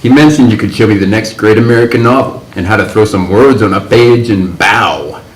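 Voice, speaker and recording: A man playing an exuberant, slangy 1950s hipster writer who talks in jazzy, animated bursts. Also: fairly low pitch, a little fast, close to an everyday voice. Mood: jazzy, excited. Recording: roomy echo.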